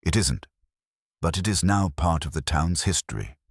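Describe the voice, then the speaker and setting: A man voicing a grave, reflective English police detective in an audio mystery story, deliberate and understated. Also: low voice, big pitch swings, breathy edge, no echo, studio quality, full character voice.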